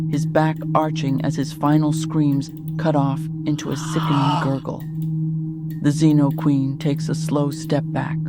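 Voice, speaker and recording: A man narrates a horror audio drama in a scripted, dramatic style. His pitch is a little high and stays fairly even, with loud music running under every line.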